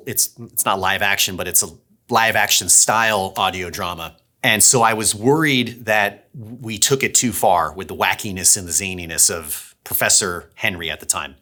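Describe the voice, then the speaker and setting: A man's voice: lowish voice, pushed and bright. A man hosting a behind-the-scenes series, explaining his production work in a casual, conversational way. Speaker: audio drama director and series host.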